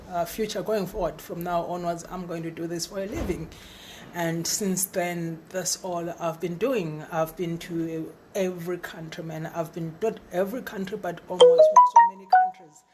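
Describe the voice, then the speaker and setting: A middle-aged woman with a husky voice giving an eager, encouraging webinar talk as a trainer, stumbling and repeating words now and then. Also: on the low side, a little mellow, slight background noise. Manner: stutters at times, repeats words; enthusiastic, encouraging. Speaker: facilitator and trainer.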